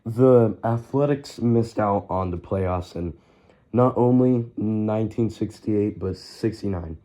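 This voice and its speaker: A man with a raspy, sick-sounding voice narrating baseball history casually, loose and rambling, correcting himself as he goes. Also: on the low side, slow pace, dark tone. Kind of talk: casual narration over game footage.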